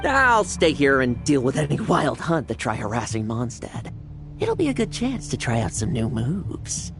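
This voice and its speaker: Animated, confident young fighter. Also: female voice, very deep voice, normal speed, music in the background, full character voice. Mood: eager, resolute. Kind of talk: video game voice acting.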